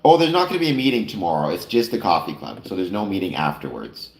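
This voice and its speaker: A man's voice: low-pitched, very animated, fast. A man hosting a casual late-night chat stream, talking to viewers with many fillers and self-corrections, a little nasal. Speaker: live stream host. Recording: roomy echo.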